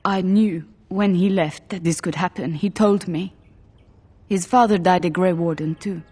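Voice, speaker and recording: An actress playing a grieving but resolute widow in a video game, speaking in a pained, hushed manner. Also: female voice, fairly low pitch, steady tone, a little slow, breathy edge. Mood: sorrowful. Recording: long reverb.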